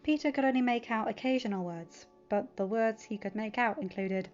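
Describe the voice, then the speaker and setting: Female podfic narrator, expressive story reading, lo-fi recording over faint music. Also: fairly high pitch, moderate pace. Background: background music.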